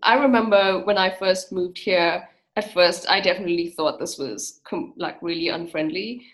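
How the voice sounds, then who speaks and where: A woman's voice: lowish voice, normal speed. A woman hosting a podcast, reading a scripted introduction and then asking chatty follow-up questions. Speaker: podcast host. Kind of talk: podcast interview.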